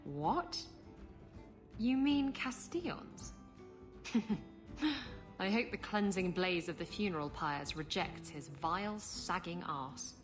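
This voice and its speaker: A woman's voice: lowish voice, expressive, takes it slowly, long pauses, music in the background. An actress playing a flirtatious, sardonic pirate woman in a video game, sultry and teasing. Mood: wry, pleased.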